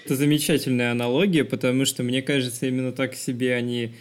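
A man's voice: mid-range voice, fairly even intonation, fast talker. Reflective man discussing film on a podcast. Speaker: podcast host.

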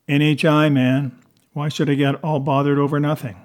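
A man's voice: fairly high pitch, level tone, moderate pace, darkish tone, natural voice. A man voicing a callous, slovenly patrol cop with a dismissive manner in an audiobook. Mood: careless, defensive.

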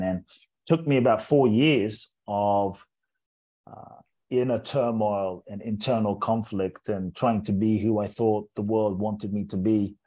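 A man in his forties who grew up in England speaks in a low, mellow and slightly husky voice. He tells his story as an interview guest, earnest and measured, circling back on words as his thoughts unfold. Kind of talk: reflective personal storytelling in an interview.

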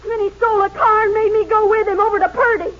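A woman's voice: very high voice, fairly even intonation, fast. An actress playing a defiant, scheming young small-town woman in a 1950s radio drama. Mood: tearful, pleading. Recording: muffled vintage 1950s radio recording.

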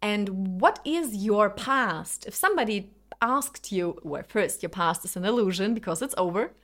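A woman's voice: mid-range voice, expressive, normal speed, fairly bright tone. Reflective woman, native Lithuanian and Russian speaker. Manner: earnest, addresses the listener with rhetorical questions. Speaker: spiritual self-help speaker. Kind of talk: reflective personal monologue, motivational talk.

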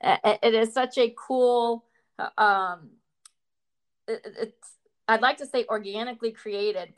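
A woman hosting an interview show, speaking in a conversational, enthusiastic and articulate way. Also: a little high. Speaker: show host.

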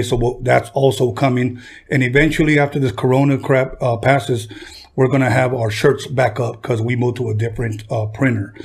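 A man's voice: mid-range voice, creaky, darkish tone. A man hosting a podcast, chatting casually with a guest and often stumbling and restarting his words. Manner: halting, repeats words and restarts. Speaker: podcast host. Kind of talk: casual interview chat.

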